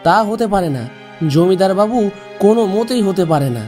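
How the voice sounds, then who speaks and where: A man's voice: sits high, animated, brisk, darkish tone, faint music behind. A man playing a poor, honest village farmer in a cartoon, earnest and plaintive. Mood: pleading protest. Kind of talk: cartoon voice acting.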